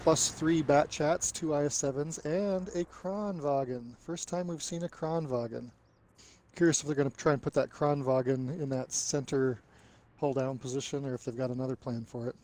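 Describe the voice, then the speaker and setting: A man's voice: mid-range voice, steady tone, moderate pace, a little mellow. A man giving conversational analysis as co-commentator on a live esports match.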